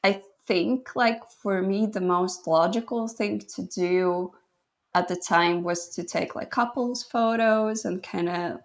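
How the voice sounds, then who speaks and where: A woman's voice: medium pitch, takes it slowly. A woman in her twenties from Latvia, a guest photographer telling her story in a modest, laughing way. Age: 20s. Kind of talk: casual interview chat.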